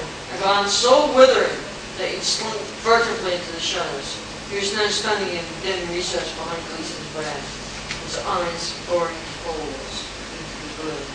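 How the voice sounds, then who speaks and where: A narrator reading pulp adventure dramatically for a live radio play, stumbling over words now and then, in an echoey room. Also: medium pitch. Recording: very echoey hall, far-off mic, clear noise.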